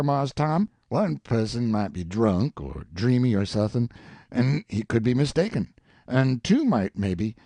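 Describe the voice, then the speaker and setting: A man's voice: medium pitch, mellow, no room sound. A man voicing a frightened, superstitious grown man who pleads in a heavy rural dialect.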